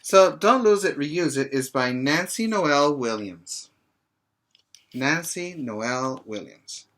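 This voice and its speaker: A middle-aged man with a bright, clear-cut edge to his voice teaches preschoolers. He takes his time, reading a picture book aloud and pausing to explain and check in with the children. His manner is instructive and simple. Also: on the high side, animated. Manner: slow, instructive, addressing children with check-in questions.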